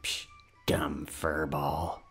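A man voicing a sneaky, sarcastic, twitchy raccoon in a husky cartoon voice. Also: extremely low pitch, little pitch movement, very slow, loud music behind the voice, exaggerated voice. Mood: sardonic. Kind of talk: comic dub cartoon acting.

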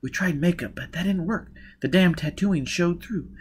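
A man voicing a brusque, irritable woman on the run in an audiobook, impatient and forceful. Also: high voice, normal speed, slightly rough, pitched above the performer's own voice. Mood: angry, grim. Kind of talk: audiobook character voice.

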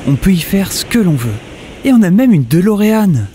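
A man's voice: sits high, very animated, normal speed, mellow, a little hiss or hum. A man playing an upbeat young hero who tells his own adventure in a casual audio drama, chatting directly to listeners. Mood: hopeful, excited. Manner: conversational, addresses listeners directly.

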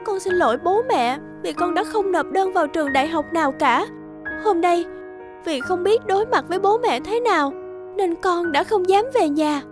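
A woman voicing an anxious, earnest teenage girl in a cartoon, soft, hesitant and polite, with a Northern Vietnamese accent. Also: very high voice, expressive, normal speed. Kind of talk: animated cartoon dialogue. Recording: music behind the dialogue.

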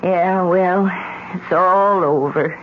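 An actress playing a weary, bitter older widow and mother in a 1970s radio drama, speaking haltingly. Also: on the low side. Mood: weary, uneasy. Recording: muffled, noisy old radio recording.

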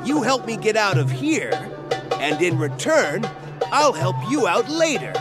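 A boastful sailor adventurer in a video game who haggles like a sly dealmaker. His voice is high and bounces all over. Loud game music plays under him. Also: very animated, measured pace. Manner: boastful, wheedling. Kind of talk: video game cutscene voice acting.

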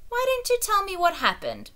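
A woman's voice: very high-pitched, wildly swooping pitch, normal speed, pushed and bright, pitched above the performer's own voice, full character voice. A woman voicing a kindly but vain young king who speaks in a courtly, impatient way. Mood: startled. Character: young king, kindly yet selfish, hungry.

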